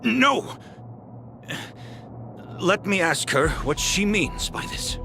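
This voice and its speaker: An actor playing an earnest, excitable male scientist in a video game, theatrical, eager and easily flustered. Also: sits high, drawn-out words, vocal fry, music in the background, heavily acted. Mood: dismayed. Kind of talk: scripted video game voice acting.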